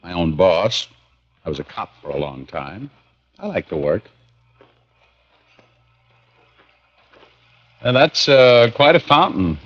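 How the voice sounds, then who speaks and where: An actor playing a wry, self-assured private detective in hard-boiled 1950s radio drama style. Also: male voice, low-pitched, big pitch swings, moderate pace. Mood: wry, relaxed. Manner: wry, laconic. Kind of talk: 1940s-50s radio acting, hard-boiled narration. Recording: dull vintage radio recording with music.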